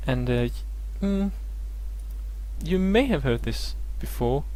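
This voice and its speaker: A man's voice: fairly high pitch, expressive, slow, a little mellow, low-quality recording. A young man, a native Danish speaker, teaching maths in a casual live tutorial, hesitant and full of fillers and restarts.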